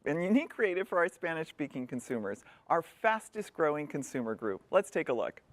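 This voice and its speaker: A woman presenting at a corporate sales meeting with a crisp, scripted delivery. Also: low voice, sing-song, brisk, no echo.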